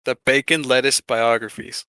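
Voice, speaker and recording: A man's voice: mid-range voice, fairly even intonation, normal speed, bright, forceful tone, clean tone, dry recording. A man co-hosting a podcast, presenting a countdown list in casual chat with an informal, hesitant delivery. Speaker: podcast co-host.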